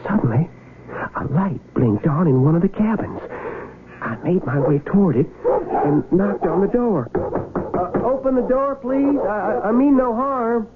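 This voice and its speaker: An actor playing a confused, earnest young wounded Civil War soldier with an American accent and a halting, stammering delivery, in 1970s radio drama style. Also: male voice, high voice, lively intonation, music in the background. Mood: haunted, narrating. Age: young adult. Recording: noisy, tinny old radio broadcast.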